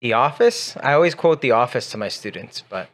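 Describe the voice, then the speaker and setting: A man's voice: medium pitch, expressive, brisk. Easygoing man, first language Spanish. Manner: easygoing, reads out listener questions. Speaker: co-host, English teacher. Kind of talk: casual advice chat.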